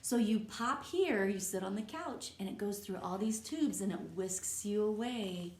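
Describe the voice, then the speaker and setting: A woman teacher reading a picture book aloud to young children, stopping to ask gentle, encouraging questions. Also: mid-range voice, lively intonation, measured pace. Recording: echoey room.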